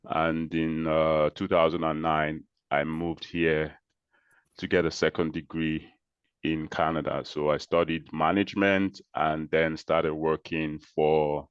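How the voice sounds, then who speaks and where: A man from Nigeria, a guest in an interview, explaining his work in a measured way with pauses and restarts. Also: very deep voice, clean tone, no room sound, dull audio. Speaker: interview guest, social entrepreneur. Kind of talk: conversational interview answers.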